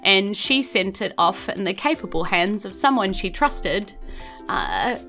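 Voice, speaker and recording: Female game master, New Zealand accent, bright high-ish voice, informal narration with music behind. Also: expressive, a little slow.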